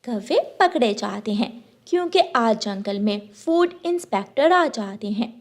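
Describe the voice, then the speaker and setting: A woman's voice: a little high, expressive, measured pace. A woman narrating a scripted children's cartoon story as a storyteller.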